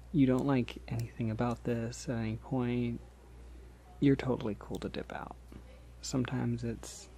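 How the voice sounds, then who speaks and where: A man playing a polite, considerate vampire in a soft-spoken ASMR roleplay, hesitant, gentle and reassuring in the way he talks. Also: medium pitch, vocal fry. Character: polite, considerate, decades-old male vampire posing as college student.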